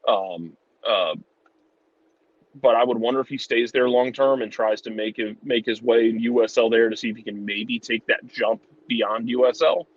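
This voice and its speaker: Male soccer commentator, mid-pitched, conversational and informal, slightly lo-fi sound. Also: moderate pace, dry recording. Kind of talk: casual sports analysis chat.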